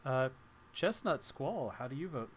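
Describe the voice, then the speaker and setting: A man's voice: mid-range voice, big pitch swings, unhurried, crowd noise. A man acting as game master in a live tabletop role-playing session, narrating and calling for dice rolls. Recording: clearly noisy room background.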